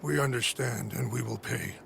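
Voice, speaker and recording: An actor playing a dignified old leader in a video game cutscene, speaking gravely, formally and patiently. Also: male voice, mid-range voice, moderate pace, a bit bright, a little hiss or hum. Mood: solemn. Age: older.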